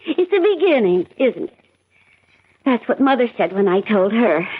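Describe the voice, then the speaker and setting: A high-pitched American housewife in her forties, worn down and bitter. It is old radio drama acting, heard through a muffled vintage broadcast recording. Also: sing-song, moderate pace, husky. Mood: bitter, resigned. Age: 40s. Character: weary, bitter forty-one-year-old housewife and mother, pregnant.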